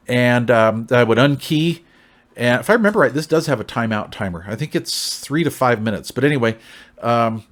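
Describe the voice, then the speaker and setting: An American man hosting a casual product review in a folksy, long-winded, conversational way, often checking in with the listener. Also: mid-range voice. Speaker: CB radio reviewer, channel host.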